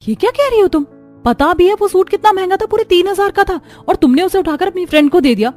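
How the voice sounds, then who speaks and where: A woman playing a harried, caring young housewife and mother in an animated family story. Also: medium pitch, fairly quick, natural voice. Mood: indignant. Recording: background music under the voice.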